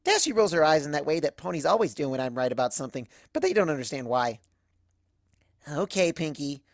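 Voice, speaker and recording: A woman's voice: sits low, big pitch swings, quick pace, no room sound, clean studio sound. A woman reading a first-person cartoon fan story aloud, performing the narration in character.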